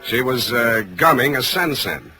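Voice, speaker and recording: An actor playing a wisecracking, hardboiled private detective, dry and sardonic, in 1940s radio style. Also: male voice, medium pitch, very animated, measured pace, bright, forceful tone, soft background music, full character voice. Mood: wry narration. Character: wisecracking, hardboiled male private detective narrating his case.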